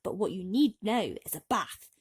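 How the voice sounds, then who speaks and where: A woman voicing a sly, courteous and bossy male cat servant in a storybook, smooth-talking and commanding. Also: medium pitch, lively intonation, moderate pace.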